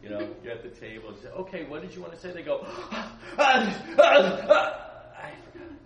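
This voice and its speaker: A man preaching a lively storytelling sermon, acting out voices and laughing at his own asides. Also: fairly high pitch, brisk. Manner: acts out voices, laughs often, chatty asides. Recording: roomy echo, distant mic, background noise.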